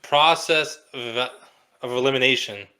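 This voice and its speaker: A young man co-hosting a casual podcast, explaining things with dry, deadpan jokes. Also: medium pitch, measured pace. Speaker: podcast co-host. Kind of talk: casual chat.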